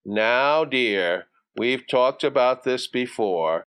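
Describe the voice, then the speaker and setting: A man voicing a condescending mother in a storybook, patiently explaining and brisk. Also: mid-range voice, expressive, fairly bright tone, clean tone. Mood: patronizing. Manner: patronizing, explanatory. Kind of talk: storybook character voice.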